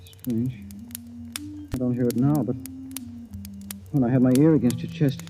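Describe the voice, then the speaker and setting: An American man playing an affectionate, weary, suspicious husband in a theatrical 1950s radio drama style, with halting delivery. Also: medium pitch, fairly even intonation, natural voice. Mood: alarmed, disbelieving. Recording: vintage 1950s radio recording with music.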